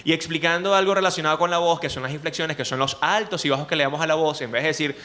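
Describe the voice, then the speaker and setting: A man with a Venezuelan accent, a journalist speaking to students in an animated way, often asking rhetorical questions. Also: a little high, very fast. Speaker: journalist and speaking coach, guest. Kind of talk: lively talk to students.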